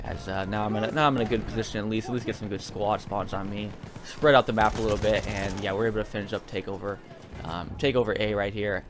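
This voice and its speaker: Male gamer, lowish voice, casual rambling commentary, hesitant and repetitive, light background noise. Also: fairly even intonation, fast talker. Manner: rambling, hesitant, repeats phrases. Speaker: video game streamer and commentator.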